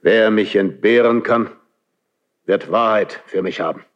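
A proud, ageing monarch played by a male actor in a classical verse radio play. The voice is very low and moves very slowly, with weighty pauses and an imperious, solitary gravity. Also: muffled recording, very noisy, lightly acted. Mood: brooding, solemn. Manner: measured, weighty, imperious delivery with long pauses. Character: grave, proud, solitary ageing king of Spain. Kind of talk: classical German stage verse radio drama.

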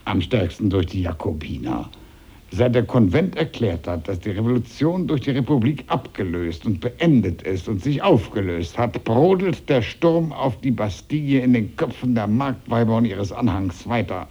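A scheming, earnest politician of revolutionary France in a radio play. His low voice rises and falls widely, formal and urgent, on a muffled old recording. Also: expressive, normal speed, dark tone, breathy edge. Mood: urgent, grave. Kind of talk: German historical radio play dialogue.

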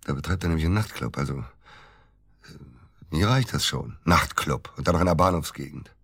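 An actor playing a bitter, agitated middle-aged widower in a naturalistic radio drama, halting and repeating himself for emphasis. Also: male voice, very deep voice, vocal fry, a bit bright, husky, heavily acted. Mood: scornful, suspicious.

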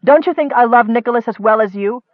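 A man voicing an earnest, hot-tempered fantasy cleric, wary and forceful, on a muffled audiobook recording. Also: sits high, lively intonation, fairly quick, no echo. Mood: angry.

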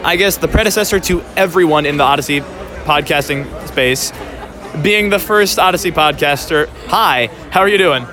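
A man hosting casual on-the-spot interviews at a busy convention, talking in a rambling, halting way. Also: a little high, sharp tone, some chatter behind. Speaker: podcast host and interviewer. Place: busy public convention space.

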